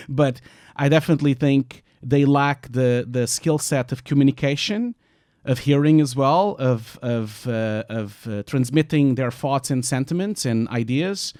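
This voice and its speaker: A man's voice: mid-range voice, darkish tone, no echo. Portuguese-accented man, dry close sound. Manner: earnest, emphatic, quick bursts with frequent fillers. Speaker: host of a panel discussion. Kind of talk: casual panel discussion.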